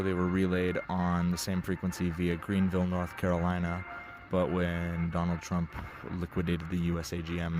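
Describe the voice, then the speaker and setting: Low, even-voiced teenage guy. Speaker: shortwave radio hobbyist narrating a bandscan. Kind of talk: casual spoken commentary. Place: outdoors at night. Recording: clear background noise.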